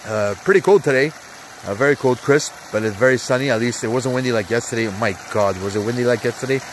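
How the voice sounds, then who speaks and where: A man's voice: mid-range voice, fast talker, background noise. Congested man rambling through football picks. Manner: rambling, many fillers, hyped asides. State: congested, sore throat. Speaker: sports betting vlogger. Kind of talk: casual NFL picks rundown. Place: outdoor hot tub on a cold day.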